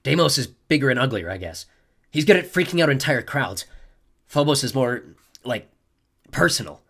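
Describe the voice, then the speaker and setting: A man's voice: mid-range voice, very animated, normal speed, gravelly, a bit bright, husky, pitched above the performer's own voice, exaggerated voice. A man voicing a tough, angry teenage warrior girl who growls and snaps defensively. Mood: grumbling. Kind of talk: audiobook character voice.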